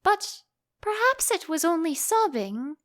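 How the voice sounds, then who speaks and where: A woman's voice: very high voice, very animated, a little slow, a bit bright, voice pushed up, heavily acted. A woman voicing a polite, earnest storybook little girl with a prim manner, eager to show what she knows. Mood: earnest, concerned.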